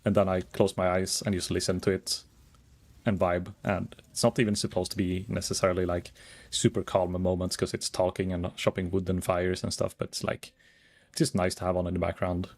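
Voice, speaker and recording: Calm, low-voiced man streaming a game. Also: a little fast. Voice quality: calm, soft-spoken. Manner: rambling, thinking aloud with many fillers. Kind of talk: casual gaming stream chat.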